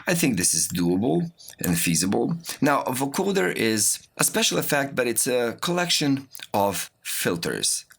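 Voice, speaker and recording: A man giving a software tutorial as an instructor, explaining step by step while he demonstrates. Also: mid-range voice, very animated, normal speed, creaky, hardly any pauses, slightly rough. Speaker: music production instructor.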